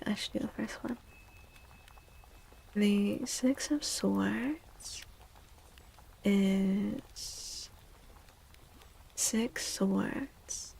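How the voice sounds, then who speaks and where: A woman giving a tarot reading in soft-spoken ASMR style, gentle and reassuring, with a breathy voice that often drops into a whisper. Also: mid-range voice, low-quality recording. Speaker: tarot reader, streamer.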